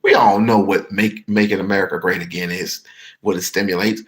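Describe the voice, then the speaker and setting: A man's voice: deep voice, fairly even intonation, brisk. A man hosting a heated commentary rant, slangy and swearing, repeating himself for emphasis. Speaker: commentary show host.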